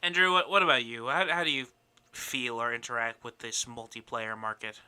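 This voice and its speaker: A man's voice: mid-range voice, fairly even intonation, normal speed, pushed and bright, smooth. A man hosting a podcast with casual, opinionated talk, given to swearing and mock indignation. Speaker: podcast host.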